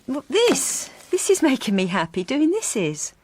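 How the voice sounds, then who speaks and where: A woman's voice: sits high, wildly swooping pitch, a little slow, slight background noise, exaggerated voice. An actress playing a lonely, wistful housewife narrating her own story in a radio drama, confiding and understated. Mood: quiet, defensive.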